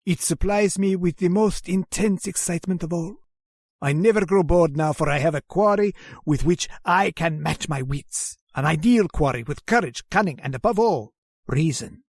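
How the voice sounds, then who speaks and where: A man's voice: a little high, moderate pace, no room sound, clean studio sound. A man acting the part of a genteel, sinister aristocratic general in a radio horror drama, courteous and suave with theatrical menace. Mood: boastful, relishing.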